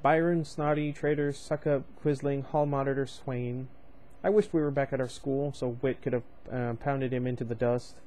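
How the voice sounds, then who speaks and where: A man's voice: mid-range voice, fairly even intonation, moderate pace, dark tone. Rambling young man reading a novel aloud. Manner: rambling, chatty asides, loses his place. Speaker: book reader, channel host.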